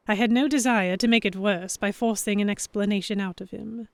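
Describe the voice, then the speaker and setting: An earnest, anxious young heroine voiced by a woman tells her story in a mid-pitched voice. The delivery is measured and expressive, like a dramatic audiobook, and the sound is very dry and close. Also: no room sound, natural voice. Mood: introspective. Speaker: narrator and lead character. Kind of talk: first-person dramatic audiobook-style narration.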